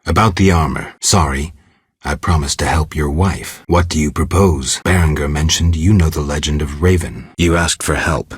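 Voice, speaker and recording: A man voicing a stoic, world-weary monster hunter in a video game, terse and deadpan, with a gravelly, husky low voice. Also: normal speed, no room sound, studio quality, exaggerated voice. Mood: flat, businesslike. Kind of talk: video game dialogue lines.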